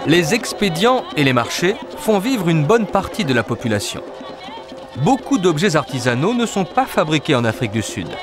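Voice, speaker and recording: A man reading a scripted documentary narration in a measured, expressive way, recorded as a studio voice-over. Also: fairly high pitch. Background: music.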